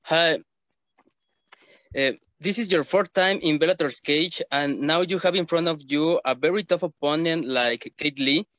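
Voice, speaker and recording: A man's voice: a little high, a bit bright, no room sound. Reporter man on a muffled call. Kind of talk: asking a question on a media call.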